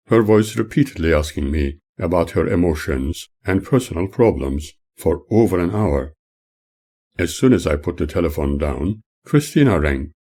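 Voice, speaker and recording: Older guy narrating his own life story, low mellow voice, formal wording. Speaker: author reading own memoir. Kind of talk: scripted audiobook narration.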